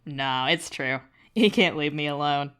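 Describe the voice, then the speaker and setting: A woman's voice: low voice, measured pace, fairly bright tone, clear, resonant tone. A woman voicing a proud, mischievous older male alien psionic in a podfic, terse and smug.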